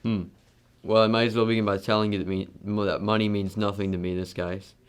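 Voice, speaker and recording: A man's voice: fairly low pitch, flat delivery, fast talker, smooth, close to an everyday voice. A man playing an imposing, blunt, commanding wealthy magnate with a temper in an amateur radio drama. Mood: blunt, impatient.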